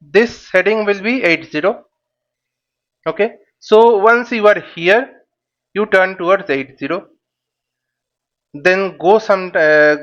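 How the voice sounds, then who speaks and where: A high-voiced man explains things slowly, like an instructor at a whiteboard. He works step by step, putting questions and then answering them. The recording is slightly dull and lo-fi. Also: a bit bright. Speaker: flight simulation instructor. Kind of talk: informal whiteboard tutorial.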